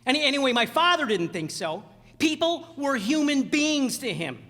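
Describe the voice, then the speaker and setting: A man playing an earnest, excitable young small-town dreamer in a radio play, with a stammering, folksy delivery. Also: very high-pitched, moderate pace, heavily acted. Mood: indignant. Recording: very echoey hall stage.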